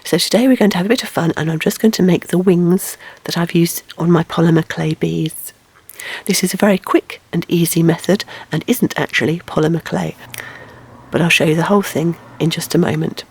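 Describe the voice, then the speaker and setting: A woman with a croaky, hoarse voice from a sore throat, chatting informally as she introduces a craft tutorial. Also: fairly low pitch, steady tone, a little fast, a little mellow, dry recording.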